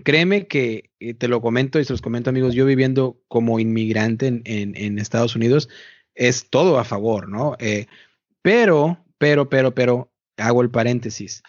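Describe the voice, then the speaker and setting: A man's voice: medium pitch, moderate pace, no echo. A man hosting a board game podcast in casual conversation, chatty and asking questions, often repeating words. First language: Spanish. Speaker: podcast host. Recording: dull, muffled dry audio.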